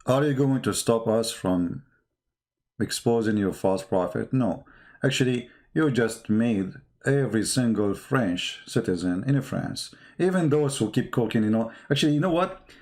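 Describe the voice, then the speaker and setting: A man's voice: medium pitch, animated, measured pace, darkish tone. A man who speaks English as a second language, giving impromptu polemical commentary in an emphatic, repetitive way with rhetorical questions. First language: not English. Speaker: online commentator.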